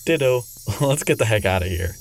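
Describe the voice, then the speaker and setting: A man's voice: on the low side, expressive, moderate pace, vocal fry, echoey room, a little hiss or hum, poor audio. A man playing an anxious, wry young companion in an audio drama. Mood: wry, eager.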